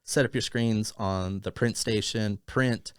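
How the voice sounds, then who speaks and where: Low-voiced man telling stories in a dry room. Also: steady tone, unhurried, clear, resonant tone, dry recording. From Thailand, raised in Arizona. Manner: rambling, many fillers and restarts. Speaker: podcast guest, business owner. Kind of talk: casual conversational storytelling.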